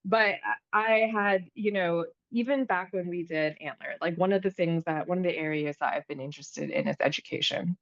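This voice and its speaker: A woman in her thirties from the United States, hosting a podcast in a relaxed, chatty way, with lots of fillers and restarts. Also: on the low side, a little fast, few pauses, no echo, muffled recording. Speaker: podcast host. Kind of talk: casual conversation.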